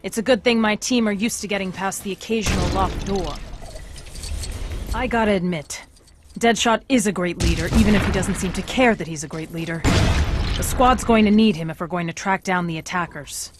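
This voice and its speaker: A woman's voice: medium pitch, brisk, heavy background noise, lightly acted. A woman playing a stern middle-aged government commander in a video game, speaking with authority and dry sarcasm. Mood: brisk, official. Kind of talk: scripted video game acting.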